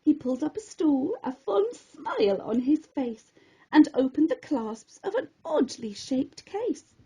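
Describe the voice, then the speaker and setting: A woman narrates a rhyming children's story with theatrical expression. Her voice is high and very melodic, rising and falling widely. She takes her time over each phrase. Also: warm tone, low-quality recording.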